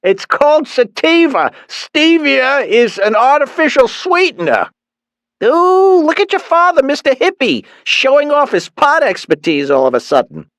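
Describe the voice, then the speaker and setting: A man voicing a chatty, teasing middle-aged mother in a high, sing-song voice. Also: very animated, measured pace, a bit bright, no echo, heavily acted. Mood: teasing, giddy.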